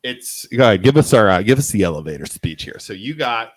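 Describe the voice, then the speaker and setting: A man hosting a casual podcast, rambling and excitable as he talks. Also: lowish voice, a little fast, low-quality recording. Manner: rambling, excitable, laughs often. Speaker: podcast host.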